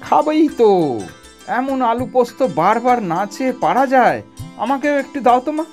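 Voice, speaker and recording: A woman's voice: high voice, animated, normal speed. A woman voicing a genial, food-loving older uncle in a family cartoon, effusive and given to savouring exclamations. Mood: delighted. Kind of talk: animated family cartoon dialogue. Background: soft background music.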